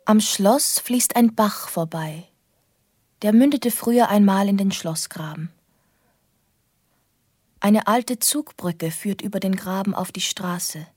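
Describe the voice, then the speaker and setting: Breathy, wistful young woman. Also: medium pitch, takes it slowly, pauses often, darkish tone, no room sound, clean studio sound. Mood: reflective. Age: young adult. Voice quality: breathy, half-whispered. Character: lonely, wistful young woman recalling her childhood in a castle. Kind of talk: intimate first-person radio drama narration.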